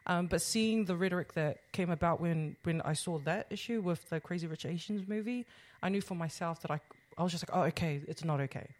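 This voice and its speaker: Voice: medium pitch, a little fast, few pauses. A podcast co-host arguing earnestly in a casual debate, backing points with personal experience. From Pacific Islander community. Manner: earnest, explains with personal examples.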